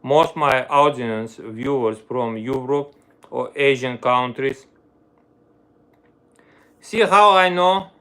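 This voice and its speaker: Slow-talking Armenian-accented man. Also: mid-range voice, a bit bright, smooth. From Armenia, grew up in the Soviet Union. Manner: searches for words, fillers, broken grammar. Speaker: livestream host, violinist. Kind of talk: casual live-stream chat with viewers.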